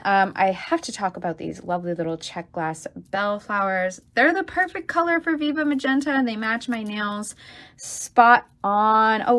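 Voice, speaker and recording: Chatty woman teaching beadwork. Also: medium pitch. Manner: chatty and enthusiastic, with fillers and asides. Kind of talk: casual livestream tutorial.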